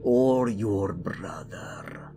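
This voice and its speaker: A man's voice: fairly low pitch, expressive, drawn-out words, music in the background, full character voice. An actor playing a cruel, gloating old king in an audio drama, sneering and drawing out his words with sinister relish. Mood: sneering, contemptuous. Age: older.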